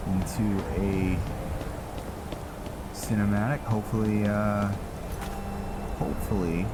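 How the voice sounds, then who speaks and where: Low, unhurried man over loud noise. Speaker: gamer narrating his roleplay playthrough. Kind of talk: slow in-character video game commentary.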